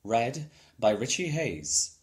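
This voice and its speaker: A man's voice: mid-range voice, very animated, takes it slowly. A man narrating a children's storybook as a scripted reading. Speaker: storybook reader.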